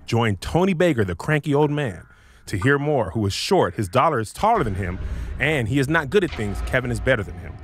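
A man delivers a dramatic movie-trailer narration. His pitch is mid-range but swings widely. It is recorded on a studio mic over audible background noise. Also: very animated, brisk. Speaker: comedian contestant. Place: podcast studio. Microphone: studio microphone with headset.

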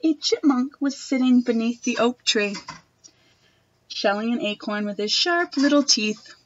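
A young woman reading a picture book aloud to children in a gentle, expressive manner. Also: fairly high pitch, measured pace, highs cut off. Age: young adult. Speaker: storytime reader. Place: wood-panelled room at home.

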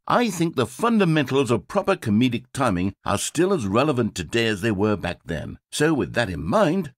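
An actor playing a vain, fussy game narrator obsessed with comedic timing, with a slightly breathy voice. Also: male voice, medium pitch, dry recording. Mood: smug. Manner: theatrical, fussy, swings between pride and self-pity. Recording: dry close studio sound.

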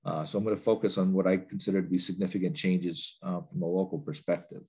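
A man's voice: low-pitched, fairly even intonation, fast talker, mellow, breathy edge. A man with a bit of a cold, giving a training webinar from a home office over a laptop video call, talking in loose sentences with many fillers and restarts. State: stuffy with a cold. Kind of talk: webinar presentation.

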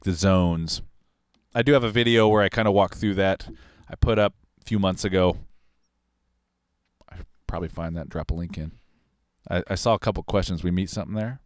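Studio guy in his 40s rambling about mics, smooth clear voice, dry close sound. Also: low voice, dry recording. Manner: relaxed, rambling, technical. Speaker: recording engineer and host. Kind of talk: casual livestream talk on recording. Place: recording studio control room. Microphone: large broadcast mic on arm, headphones on.

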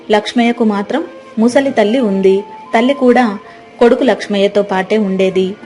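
Female storyteller, soft dark timbre, medium pitch, scripted village-tale narration.

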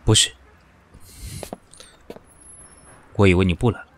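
A man acting in an audio drama as a cool, sharp-tongued professional who is weary and dismissive of his pushy lover. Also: sits low, steady tone, rapid-fire, a little hiss or hum, lightly acted. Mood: exasperated.

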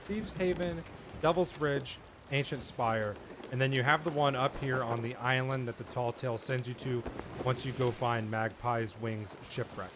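A man's voice: mid-range voice, fairly bright tone. A middle-aged man giving a casual, easygoing video game walkthrough, speaking informally to his viewers. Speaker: video game guide creator and host. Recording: clear background noise under voice.

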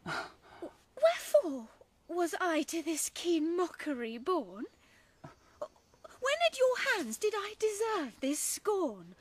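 Very high-voiced plaintive maiden. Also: female voice, very animated, dry recording, exaggerated voice. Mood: hurt, indignant. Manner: theatrical, measured verse delivery. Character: young lovelorn Athenian maiden, earnest and plaintive. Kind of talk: classical radio drama verse acting.

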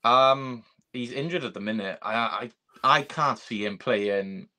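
A man's voice: mid-range voice, a little slow, pushed and bright. A young man, chatting casually as a guest on a video call from a small room, with many fillers. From Wales. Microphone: video call webcam.

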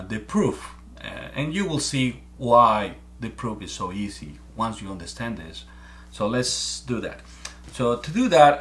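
A man's voice: medium pitch, fairly bright tone, a little hiss or hum. A man in his forties from Mexico, with a heavy Mexican Spanish accent, earnestly explaining math step by step to a webcam at home. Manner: earnest, repeats words while thinking. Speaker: amateur math presenter. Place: small room at home, indoors.

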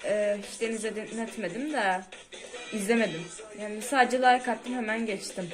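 A woman's voice: medium pitch, normal speed. A young woman chatting casually as she reacts to songs. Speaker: vlogger rating cartoon theme songs. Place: small indoor room at home. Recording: music playing behind the talk. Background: cartoon songs from a phone.

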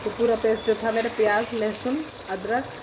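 A woman's voice: fairly high pitch, steady tone, normal speed. A woman home cook casually narrating a recipe step by step to her viewers, recorded in a home kitchen. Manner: step-by-step, addresses viewers as friends. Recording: sizzling pan noise over the voice. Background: loud sizzling and frying food.

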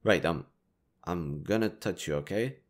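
A man playing a kind, reassuring young stranger in an intimate audio roleplay, speaking gently, considerately and a little hesitantly. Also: low-pitched, a little slow. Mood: considerate, explaining. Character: kind, reassuring young male stranger helping a distressed girl.

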